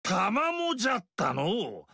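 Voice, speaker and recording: A lecherous, greedy old tyrant for a video game, leering and boisterous. His voice is gravelly and high-pitched for a man, swinging wildly up and down. It sits dry and close in the studio. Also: wildly swooping pitch, a little slow, sharp tone, no room sound, heavily acted. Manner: leering, boisterous, booming laughs.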